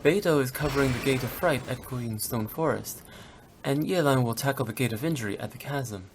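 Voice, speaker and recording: A woman's voice: a bass voice, fairly quick, noisy background. A woman voicing a confident young female funeral-parlor director in a video game, briefing the others in a self-assured way.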